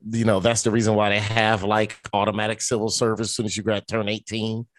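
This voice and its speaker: A man's voice: lowish voice, little pitch movement, fast talker. A man arguing bluntly in casual podcast chat, swearing freely.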